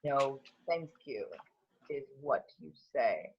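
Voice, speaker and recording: A man's voice: mid-range voice, sing-song, slow pace, breathy edge, full character voice. A man playing a bitter, volatile older father in an amateur script read. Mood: dry, teasing. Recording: dull lo-fi home recording.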